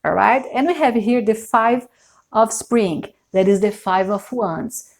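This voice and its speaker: Woman, Brazilian Portuguese accent, speaking English as a second language, tarot reader, very slow, halting, hunts for words. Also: medium pitch. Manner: slow and deliberate, often hesitating and searching for English words, repeating phrases. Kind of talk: unscripted tarot reading to camera.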